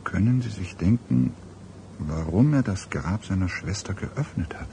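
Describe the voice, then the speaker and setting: A grave police detective with a low voice. He muses haltingly, troubled and puzzled, in the manner of a radio play. Outdoor ambience is clearly audible behind him. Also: expressive, mellow, slight background noise, full character voice. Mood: grim, disturbed. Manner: halting, grave, musing pauses. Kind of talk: German radio drama acting.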